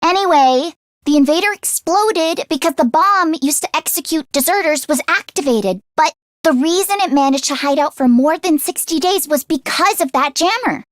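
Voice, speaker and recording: Anime game schoolgirl, earnest squeamish tinkerer type, really high and animated, close dry sound. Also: no room sound. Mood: earnest, explaining. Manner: expressive, earnest, quick to exclaim.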